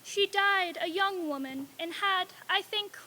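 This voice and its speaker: A woman playing a solemn, commanding spirit in a radio-play reading, deliberate and otherworldly. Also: very high voice, sharp tone, heavily acted. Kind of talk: old-time radio stage reading.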